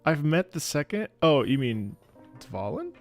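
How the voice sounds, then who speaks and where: Male streamer, casual jokey reactions, fry in the voice, faint game music behind. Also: a little high, big pitch swings, a little slow. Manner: offhand, jokey, thinking aloud. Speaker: streamer playing a video game. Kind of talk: casual live reaction commentary.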